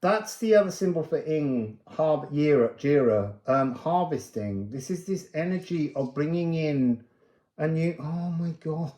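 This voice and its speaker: A man's voice: on the high side, animated, unhurried, mellow, echoey room. A man giving an unscripted spiritual card reading, musing and meandering as he drifts between thoughts. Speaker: oracle card reader and host.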